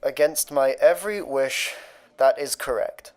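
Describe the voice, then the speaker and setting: An actor playing a literal-minded, deadpan artificial intelligence living in a kettle in a radio sitcom. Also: male voice, mid-range voice, unhurried, lightly acted. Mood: dry, resigned. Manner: deadpan, pedantic. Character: hapless, literal-minded, dryly pedantic AI in a kettle.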